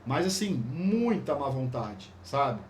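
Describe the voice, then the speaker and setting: A man's voice: medium pitch, big pitch swings, takes it slowly, a little mellow, slight background noise. A man with a Brazilian Portuguese accent, teaching a course in an informal, slangy way, rambling and restarting his sentences. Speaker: course instructor. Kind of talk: casual spoken lesson.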